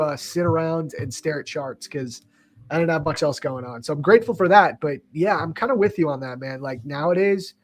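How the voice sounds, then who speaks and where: A man in his early thirties hosting a trading livestream, upbeat and chummy, casually calling people bro. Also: on the high side. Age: 30s. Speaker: livestream host.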